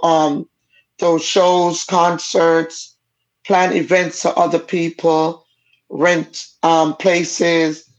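Jamaican-accented woman telling her story. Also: fairly low pitch. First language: Jamaican Patois. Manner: frank, conversational storyteller. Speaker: guest. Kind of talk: personal storytelling in a casual interview. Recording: dull lo-fi audio.

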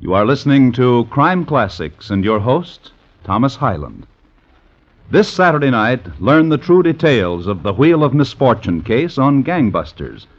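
A man's voice: mid-range voice, big pitch swings, unhurried. A man announcing in an emphatic, formal 1950s radio promotional style. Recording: muffled vintage radio recording.

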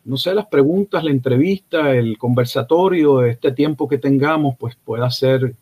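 A man, a pastor answering interview questions in a measured way, stringing phrases in series. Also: mid-range voice, a little mellow, slightly rough. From Puerto Rico. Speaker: pastor, guest.

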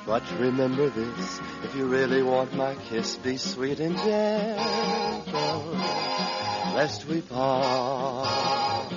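A man singing a sentimental 1940s song in a crooning style. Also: mid-range voice. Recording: muffled vintage radio with loud music.

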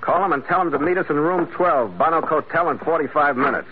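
An actor playing a tough, suspicious sea captain who investigates and narrates in a terse, hard-boiled radio detective style. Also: male voice, a little high, fast, background noise, natural voice. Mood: commanding. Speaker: radio drama lead and narrator. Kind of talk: 1940s radio detective acting, first-person narration. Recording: tinny old radio recording.

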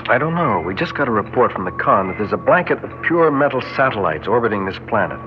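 A man's voice: fairly low pitch, sing-song, fast talker. An actor playing a wary, businesslike male spaceship commander in old-time radio drama style. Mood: urgent. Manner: businesslike, military. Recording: dull old radio, music behind.

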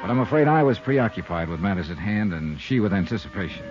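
An actor playing a shrewd, brisk insurance investigator in a 1950s radio detective drama, with clipped, wry, hard-boiled delivery. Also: male voice, lowish voice, animated, close to an everyday voice. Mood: dry narration. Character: shrewd, brisk male insurance investigator narrating his expense account. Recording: dull 1950s radio recording, music behind.

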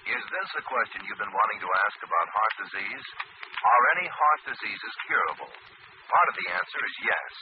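A man's voice: lowish voice, background noise. Tinny old-time male radio announcer. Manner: earnest, measured, formal. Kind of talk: 1940s-50s scripted public service announcement.

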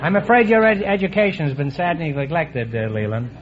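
A middle-aged man hosting a quiz show, teasing his guests with quick puns and asides before a live audience. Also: fairly high pitch, big pitch swings, over a noisy crowd, very noisy. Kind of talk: wisecracking quiz show banter. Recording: dull old radio broadcast, audience behind.

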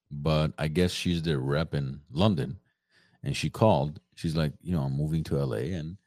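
Very deep gravelly guy, animated story, laughs a lot, dry sound. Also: no room sound. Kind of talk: casual chat.

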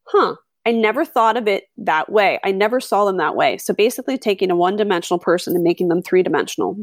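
A middle-aged woman, a podcast host, telling stories in a chatty, animated way with lots of fillers. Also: medium pitch, fast, no room sound, clean studio sound. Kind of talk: conversational interview.

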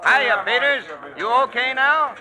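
An actor playing a breezy, joshing factory workmate in an old-time radio drama. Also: male voice, very high voice, unhurried. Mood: teasing. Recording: vintage radio with busy crowd clamor.

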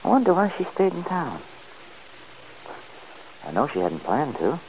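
Gruff, stubborn Lefty. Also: male voice, fairly high pitch, huge pitch swings, measured pace, darkish tone, slight background noise, poor audio. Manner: gruff, defensive, mumbling. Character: Lefty, restless stubborn middle-aged man. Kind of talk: 1940s radio acting.

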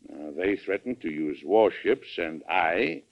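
An actor playing a resolute, blustery American president in an old-time radio drama, speaking emphatically and deliberately. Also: male voice, low voice, lively intonation, gravelly, full character voice. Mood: thoughtful, earnest. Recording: dull old radio broadcast recording.

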